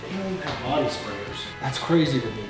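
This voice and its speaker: Guy in his thirties, blunt and crude walkthrough talk, echoey bare rooms with loud music over it. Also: mid-range voice, animated, fairly quick. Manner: crude joking, blunt opinions. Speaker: real estate vlogger. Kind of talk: casual walkthrough commentary. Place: unfinished house under construction, indoors and outdoors.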